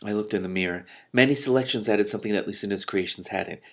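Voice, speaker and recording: Man reading a novel aloud, low-pitched voice. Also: fast, fairly bright tone. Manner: dramatic, reacts in whispers and exclamations. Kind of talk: expressive audiobook-style reading with spontaneous reactions.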